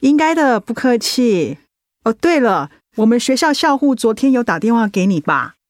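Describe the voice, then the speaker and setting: Polite schoolteacher, dry sound. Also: female voice, on the high side, sing-song, normal speed, no echo. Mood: polite, reassuring. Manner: polite, explanatory. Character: polite, reassuring female schoolteacher. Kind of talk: radio drama acting.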